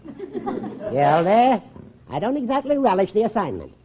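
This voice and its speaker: Needling high-voiced old judge, muffled radio. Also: male voice, very animated, a little slow, breathy edge, exaggerated voice. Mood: dry. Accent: American. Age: older. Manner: dry, teasing, meddlesome, cackling. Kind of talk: 1950s radio comedy acting.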